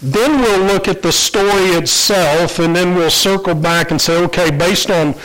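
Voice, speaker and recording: A man preaching a conversational Bible lesson, congested from allergies and clearing his throat now and then. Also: high-pitched, unhurried, a bit bright, low-quality recording. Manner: conversational, with fillers and restarts. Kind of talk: Bible teaching sermon.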